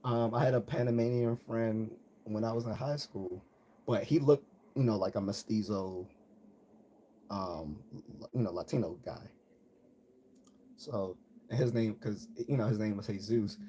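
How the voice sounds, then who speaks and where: A man's voice: fairly low pitch, a little slow, pauses often, mellow. An American man hosting a live stream, giving a casual, blunt, conversational monologue with some slang. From United States. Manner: blunt, conversational, slangy, mimics people he quotes. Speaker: host of a live commentary stream. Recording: noticeable background noise.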